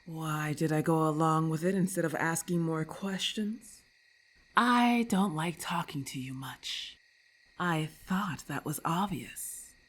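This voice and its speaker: A woman's voice: deep voice, slow. A woman voicing a haughty, irritable lamia in an ASMR roleplay, with hissing, imperious and formal speech, scornful and menacing. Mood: disdainful.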